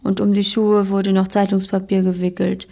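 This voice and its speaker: An older woman recalling personal memories in a plain-spoken way, with hesitant pauses. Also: medium pitch, level tone, creaky, mellow. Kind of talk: personal recollection.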